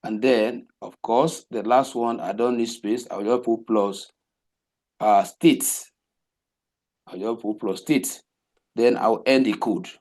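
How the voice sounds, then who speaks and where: Man, coding teacher, slow and repetitive walkthrough, mid-range voice. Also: medium pitch, darkish tone. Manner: slow, repetitive step-by-step explaining, asks rhetorical questions. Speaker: web development teacher. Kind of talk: live coding class walkthrough.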